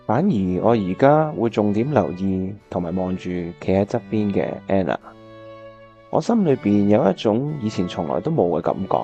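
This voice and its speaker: A man's voice: lowish voice, very animated, normal speed, warm tone, lo-fi sound, soft background music. A man playing an earnest, reflective bachelor in a Cantonese radio drama, with a Hong Kong accent. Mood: wistful. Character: earnest, reflective bachelor looking back on old love.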